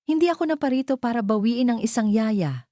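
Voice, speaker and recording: A woman's voice: on the high side, lively intonation, fairly quick, mellow, dry recording, clean studio sound, pitched above the performer's own voice, close to an everyday voice. A woman voicing an earnest, pleading male CEO in love, in a romance audio story. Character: earnest, lovestruck young male CEO suitor.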